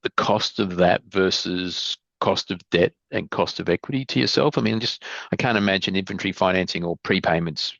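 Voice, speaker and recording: A man with a deep voice questions management as an analyst on an investor call. He is conversational and hesitant, restarting and rephrasing as he goes. The audio is dry and somewhat dull. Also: brisk, vocal fry, hardly any pauses, fairly bright tone, no echo.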